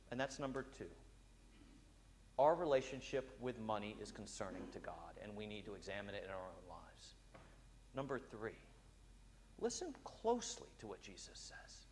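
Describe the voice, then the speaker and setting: A man's voice: fairly high pitch, fairly bright tone. A man in his thirties, a priest giving a sermon in a large church, speaking conversationally with frequent "uh" fillers. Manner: gestures broadly while walking about.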